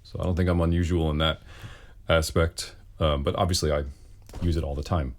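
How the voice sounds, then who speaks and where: A man speaks in a low, deep bass voice. He talks casually as a singer fielding questions, with a wry and self-deprecating sense of humor. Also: steady tone, brisk. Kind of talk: casual Q and A talk.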